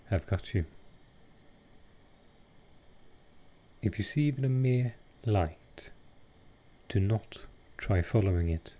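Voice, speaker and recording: A man voicing a gentle, courtly vampire lord in a roleplay audio drama, speaking softly and formally with halting care. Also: low-pitched. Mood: protective, soothing. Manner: soft, slow, formal, halting. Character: gentle old-world male vampire lord, protective and courtly.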